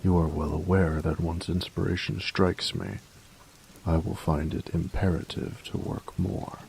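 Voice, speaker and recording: Guy doing a grumpy tired inventor for audio roleplay, super low gravelly voice, stiff formal wording, slow n careful. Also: little pitch movement, darkish tone, a little hiss or hum, poor audio. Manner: formal, stilted, precise diction with hesitant pauses. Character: grumpy, workaholic male artificer, formal, tired and reserved. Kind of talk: audio roleplay addressed to the listener.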